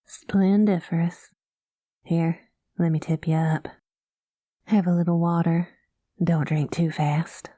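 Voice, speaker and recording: A woman voicing a kind, easygoing space cowgirl pilot in an ASMR roleplay, talking gently in a folksy cowboy manner. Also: on the low side, little pitch movement, slow, pauses often, no echo, clean studio sound. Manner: folksy drawling cowboy talk, calls listener partner; gentle and soothing.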